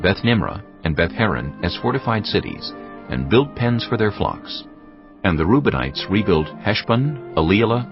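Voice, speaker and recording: Deep-voiced Bible narrator, expressive. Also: male voice, gravelly. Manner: steady, measured storytelling. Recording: dull lo-fi recording with music behind.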